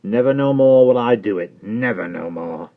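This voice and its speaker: A trembling manservant, as a male narrator puts him on holds forth in a slow, pompous, preachy drawl. His voice sits well above the reader's own and comes out loud and earnest. The recording is muffled and lo-fi. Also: medium pitch, expressive, voice pushed up, lightly acted. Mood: solemn. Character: solemn trembling Cockney manservant, uneducated.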